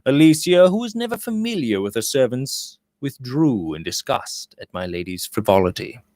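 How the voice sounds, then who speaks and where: A man's voice: mid-range voice, very animated, normal speed, darkish tone, no echo. A man narrating a Victorian novel as an audiobook reader, in a theatrical storytelling manner.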